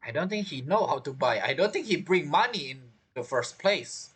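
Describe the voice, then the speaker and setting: Animated male gamer commentating. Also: fairly high pitch, moderate pace, husky. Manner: chatty, musing aloud. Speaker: gaming streamer. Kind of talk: casual game playthrough commentary.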